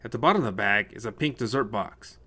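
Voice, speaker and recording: A man's voice: lowish voice, quick pace, pushed and bright. A man narrating a scripted short story as a storyteller.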